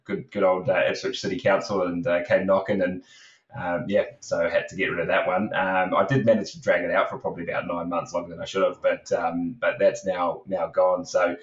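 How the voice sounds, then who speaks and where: A man with young kids, a recruitment company co-founder, talking casually as a podcast guest with lots of fillers and restarts. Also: sits low. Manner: rambling, many fillers and restarts. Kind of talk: casual podcast interview talk. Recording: roomy, distant, dull video call audio.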